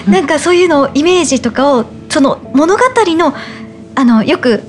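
A woman guest on a radio talk show, bubbly and playful, chatting quickly. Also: sits high, animated, faint music behind. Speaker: voice actress guest. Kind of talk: casual radio chat.

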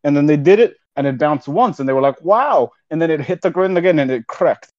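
A man co-hosting a comedy podcast, delivering rambling mock-solemn speeches in a deadpan way. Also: fairly high pitch, a little fast, no echo, lo-fi sound. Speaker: podcast co-host. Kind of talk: improvised comedy banter.

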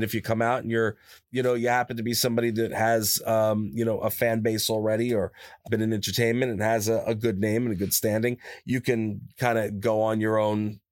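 Guy chatting away like a voice actor guest, rambly and lively, close dry mic in a studio. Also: no room sound. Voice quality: higher-pitched natural voice. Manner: rambling, animated storyteller, repeats himself. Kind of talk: casual interview chat. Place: his own studio. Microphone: studio microphone, close.

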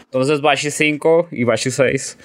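A man's voice: mid-range voice, fairly even intonation, unhurried, a crowd in the background. A man, hosting a podcast and asking questions in a slangy, casual way with free swearing. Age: 30s. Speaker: podcast host. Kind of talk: casual interview.

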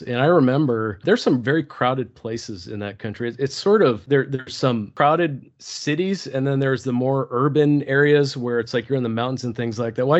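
A man from Turkey, a podcast guest telling a personal story slowly and thoughtfully, often restarting his sentences. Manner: slow, thoughtful, many restarts. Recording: dull low-fidelity podcast audio.

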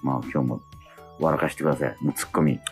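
A man's voice: very deep voice, steady tone, fast talker, gravelly, soft background music. A man hosting a radio show, chatting casually and reading listener mail with frequent interjections.

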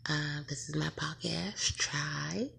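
A woman's voice: low-pitched, slow pace, gravelly, sharp tone, slight background noise. A woman, hosting her own casual podcast and rambling, often correcting herself and laughing at her slips. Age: late 20s. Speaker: podcast host. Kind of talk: casual solo podcast intro.

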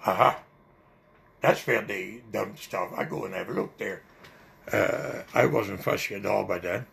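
A man's voice: on the low side, unhurried, gravelly, a bit bright. An older man, not a native English speaker, recalling a personal story in a rambling oral history interview. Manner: rambling, vivid recollection with fillers.

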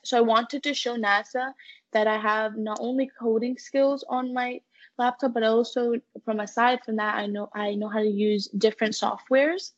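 A woman's voice: fairly high pitch, muffled recording. A young woman, earnestly answering questions in a conversational interview. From United States-born, living in Canada. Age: teens to young adult. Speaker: interview guest, student intern.

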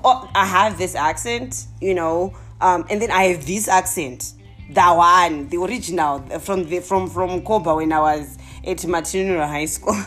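A young Zimbabwean woman with a low-set voice that swings widely as she tells a funny story straight to her viewers. She exclaims and talks casually, with soft music playing faintly behind her. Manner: animated, exclaiming, laughing often.

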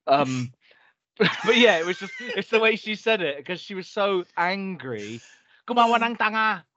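A man's voice: high-pitched, very animated, measured pace, bright, forceful tone, highs cut off, a little hiss or hum. Rambling man on a podcast. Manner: rambling storyteller, many restarts and fillers. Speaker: podcast co-host. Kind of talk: casual chat.

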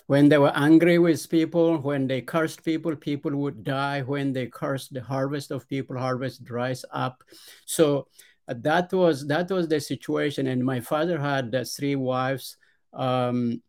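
A middle-aged man with an Ethiopian accent, speaking at an average pitch as a podcast guest. He tells his story haltingly, stopping often on "uh" and restarting his sentences. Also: steady tone, darkish tone. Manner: hesitant, frequent "uh" pauses and repeated starts. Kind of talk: conversational storytelling.

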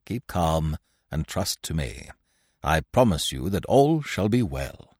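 Storybook rogue played by a man: scheming, smooth-talking, self-assured conspirator, animated delivery, dry sound. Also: on the low side, normal speed, dry recording, heavily acted. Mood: soothing. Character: sly, strutting, shabby male conspirator and schemer.

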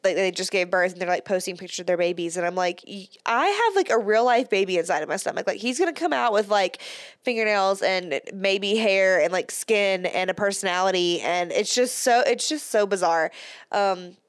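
A woman's voice: mid-range voice, moderate pace, clear, resonant tone, no echo, studio quality. Chatty congested woman podcast host. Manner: chatty, says "like" often, laughs between words. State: slightly congested from a cold. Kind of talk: casual solo podcast chat.

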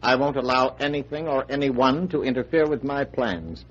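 An actor playing a controlling, evasive blackmailer in a theatrical 1940s radio mystery style. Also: male voice, medium pitch, moderate pace, husky, natural voice. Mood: stern, controlling. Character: scheming, domineering male blackmailer, smooth but evasive. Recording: dull, hissy old radio recording.

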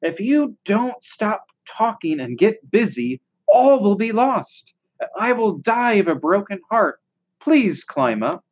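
A woman's voice: on the low side, very animated, measured pace, lo-fi sound. A woman voicing an earnest, emotional young male pig from a storybook, pleading and dramatic. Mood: desperate.

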